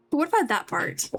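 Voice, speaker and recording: A woman's voice: high voice, a bit bright. A woman streaming a game, giving casual, chatty, playfully mocking commentary as she plays. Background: game music.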